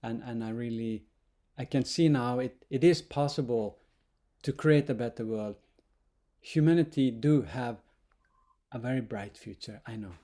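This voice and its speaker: Guy, halting and repeating himself a lot, chatting on a call. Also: medium pitch, mellow. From Norway. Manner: hesitant, many restarts and repeated words. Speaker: interview guest, monk. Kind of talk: casual interview talk.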